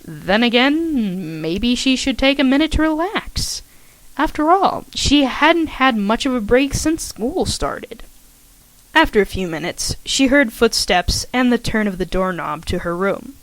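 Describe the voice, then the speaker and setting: A woman reading her own fanfiction aloud as a podfic, in a lively storytelling way. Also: on the high side, measured pace, no room sound, poor audio.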